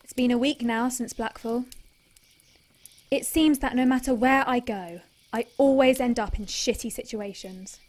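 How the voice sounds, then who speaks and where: A woman's voice: on the high side, moderate pace, a little mellow, natural voice. An actress playing a tough, blunt young female monster hunter in an audio drama. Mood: brooding. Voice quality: brash, sweary. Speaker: lead character.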